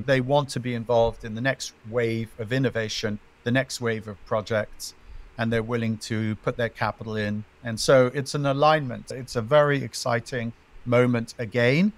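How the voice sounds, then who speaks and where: A middle-aged man speaking as a venture investor guest, giving measured, emphatic interview answers and listing his points in threes. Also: mid-range voice.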